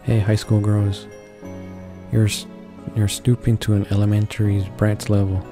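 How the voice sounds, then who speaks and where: A man doing a casual read-along of a visual novel, reading haltingly, stumbling over words and correcting himself, with asides of his own. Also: lowish voice, level tone, over music. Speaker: gaming video creator reading a visual novel aloud.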